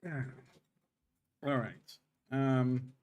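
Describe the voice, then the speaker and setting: A man's voice: mid-range voice, fairly even intonation, highs cut off. Tired-throated man coding live, thinking aloud. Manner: halting, thinks aloud with many pauses and um's. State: tired throat after a day of talking. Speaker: game developer streaming live.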